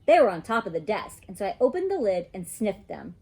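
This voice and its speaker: An excitable little kindergarten girl , acted out by a woman reading a storybook, chattering with cheeky emphasis. The pitch leaps around in a lively way, with a slightly husky edge to the childlike delivery. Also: fairly quick. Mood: excited. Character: excitable, mischievous little kindergarten girl telling her own adventures. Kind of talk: children's storybook read-aloud.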